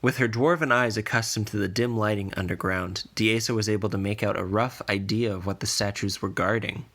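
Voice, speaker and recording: A man narrates a scripted fantasy adventure. His voice sits low and has a creaky, gravelly grain. He tells the story in measured, deliberate sentences. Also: steady tone, fairly bright tone. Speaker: podcast narrator.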